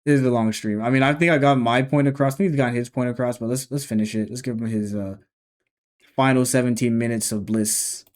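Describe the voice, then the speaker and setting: A young man in his early twenties reacts to a clip with casual, rambling commentary. His voice sits at an ordinary middle pitch. He often trails off and restarts his sentences as he thinks aloud. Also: steady tone, fast talker. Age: 20s. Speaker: reaction video host.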